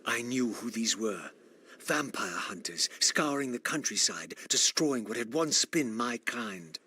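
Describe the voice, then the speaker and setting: An actor playing a bitter, brooding undead wraith, narrating a video game in a grave, formal, theatrical manner. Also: male voice, mid-range voice, animated, a little slow, sharp tone, slight background noise. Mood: grave, reflective. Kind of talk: scripted dramatic game narration.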